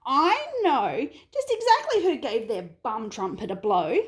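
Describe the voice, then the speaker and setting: A small, eager numbat from a storybook pleads to be heard, as a woman puts him on. The voice sits high and swoops about. He calls out earnestly, never giving up. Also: huge pitch swings, a little slow.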